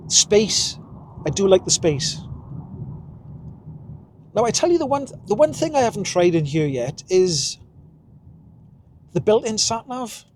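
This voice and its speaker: Welsh man in his 50s, higher voice, rambling car-vlog chat, faint road hum behind. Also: darkish tone. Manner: chatty, rambling, chuckles at himself. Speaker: vlogger. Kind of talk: casual driving vlog. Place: inside a car while driving.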